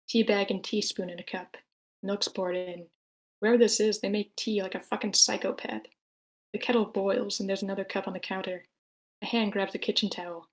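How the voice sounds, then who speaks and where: Woman narrating screenplay action, mid-pitched. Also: rough recording. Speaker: narrator reading stage directions.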